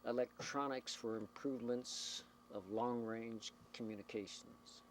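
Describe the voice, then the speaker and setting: A man with an average-pitched voice explains his work as a small community radio operator. He talks informally and deliberately, picking his words with care. The old documentary tape carries clear, steady rumble and noise around him. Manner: halting, deliberate, choosing words carefully. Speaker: community CB radio station operator and announcer, interviewee. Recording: noisy 1990 documentary tape, vehicle or generator rumble.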